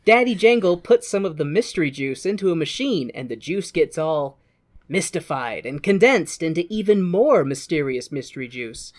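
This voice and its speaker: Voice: medium pitch, animated, normal speed. A podcast co-host giving a casual, jokey, irreverent retelling of a film.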